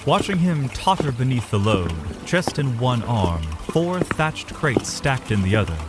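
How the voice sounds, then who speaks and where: Animated male narrator over music. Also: mid-range voice, measured pace. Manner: literary storytelling. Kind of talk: scripted dramatic story narration.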